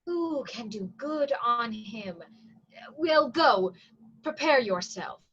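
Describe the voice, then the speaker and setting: Through slightly muffled lo-fi audio comes a brisk, commanding prison provost of the Shakespearean stage acted by a woman. The voice is high and unhurried, declaiming each order with stern weight and some pity. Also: animated, fairly bright tone, a little hiss or hum, full character voice. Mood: sober, pitying. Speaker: actor in a staged reading.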